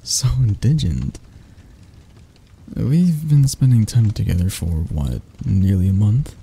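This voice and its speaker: A man voicing a husky, seductive monster. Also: fairly low pitch, lively intonation, exaggerated voice. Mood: teasing, languid. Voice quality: husky, breathy, gravelly. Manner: slow, teasing, coaxing, with soft chuckles. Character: seductive, predatory male insectoid castle monster, doting yet hungry. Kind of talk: intimate ASMR roleplay acting. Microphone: close microphone.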